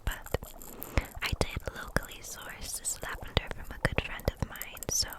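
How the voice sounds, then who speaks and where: Woman doing whispered asmr roleplay as a gentle kitchen witch, soft breathy voice, talks right to you, unhurried. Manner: gentle, unhurried, addressing the listener softly.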